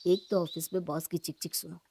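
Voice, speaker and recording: A woman's voice: fairly low pitch, little pitch movement, fairly quick, vocal fry, dark tone, voice pushed down. A woman puts on a fed-up young husband in a cartoon moral story. He scolds curtly and sounds exasperated and worn down. The recording carries some noise. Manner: exasperated, curt.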